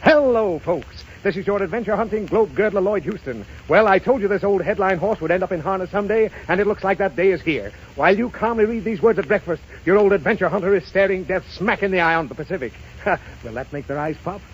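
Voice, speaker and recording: An actor playing a brash, excitable, boastful newspaper adventure reporter in 1930s radio drama style. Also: male voice, high-pitched, fast talker, close to an everyday voice. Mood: excited, boastful. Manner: boastful, breezy, hearty. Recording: muffled, hissy 1930s radio broadcast.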